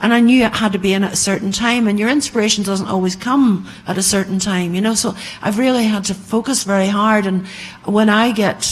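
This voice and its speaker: Female panelist, a writer. Medium pitch, informal, anecdotal delivery. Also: steady tone, a little fast, low-quality recording.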